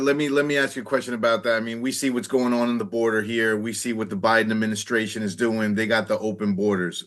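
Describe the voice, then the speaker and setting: A man hosting an interview show over a video call, greeting his audience and asking his guest direct questions. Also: fairly low pitch. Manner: upbeat welcoming then direct questioning. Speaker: host.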